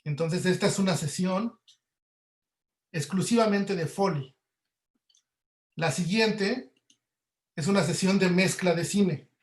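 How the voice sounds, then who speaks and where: A man's voice: high voice, takes it slowly, pauses often, echoey room. A man giving an informal webinar lecture as an audio producer, explaining conversationally to students and checking in with tag questions. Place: indoor room, online webinar.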